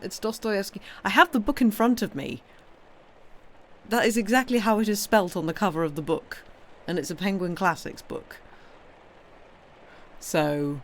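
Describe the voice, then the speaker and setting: A woman's voice: medium pitch, slight background noise. Rambling British woman chatting on a livestream. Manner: rambling, playful, self-interrupting. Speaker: livestream host and reader. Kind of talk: casual livestream chat.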